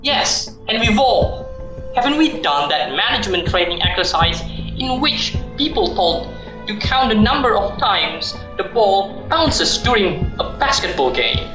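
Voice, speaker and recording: An indignant, learned executive acted by a woman holds forth in a radio drama. The delivery is wordy and sardonic with big swings of pitch, in a roomy recording with some music behind. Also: big pitch swings, unhurried. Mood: condescending. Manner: sarcastic, wordy, pompous.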